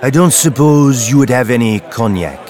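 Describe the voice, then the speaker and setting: A man's voice: mid-range voice, lively intonation, darkish tone, a faint crowd, close to an everyday voice. A man voicing a proud colonel and marquis, speaking English. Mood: courtly. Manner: slow, proud, precise. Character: haughty French colonel, a marquis, middle-aged.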